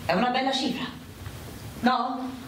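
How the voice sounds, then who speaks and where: An actress playing a proud, worldly wartime prostitute in a radio drama, brash and confiding in a theatrical way. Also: female voice, high voice, steady tone, measured pace. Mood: defiant. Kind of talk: dramatic radio play dialogue. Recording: roomy, distant mic with loud background noise.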